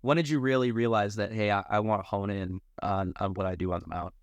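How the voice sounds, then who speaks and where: A man's voice: on the low side, steady tone, fast talker, no room sound. A man co-hosting a sports podcast, asking long, conversational, somewhat rambling questions. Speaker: podcast co-host and interviewer.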